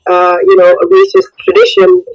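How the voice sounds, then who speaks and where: Woman, born in Somalia, radio caller, gravelly, muffled line. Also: very high-pitched, very animated, normal speed. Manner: earnest, hesitant with many uhs. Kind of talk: phone-in comment.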